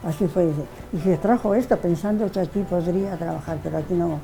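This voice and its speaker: A woman's voice: fairly low pitch, fairly quick, creaky, over music, poor audio. An older woman being interviewed, telling old memories in a rambling way, often repeating words and restarting. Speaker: interviewee, widow of the artist. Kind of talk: casual reminiscing in an interview.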